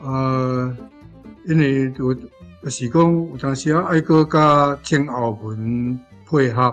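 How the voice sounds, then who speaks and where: A man with a Taiwanese accent explaining as a language teacher, hesitant and pausing, over an online link. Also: mid-range voice. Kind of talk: unscripted explanation. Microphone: remote online connection. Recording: dull remote link with faint music.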